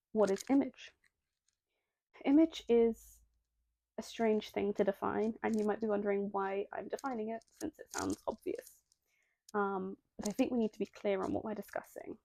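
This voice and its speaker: A young woman hosts a video essay in a low-lit, mellow voice with a gravelly edge. She sounds a little nasal and reads her script steadily, then slips into self-deprecating asides. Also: fairly even intonation, normal speed, long pauses. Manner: self-deprecating, breaks into apologetic tangents.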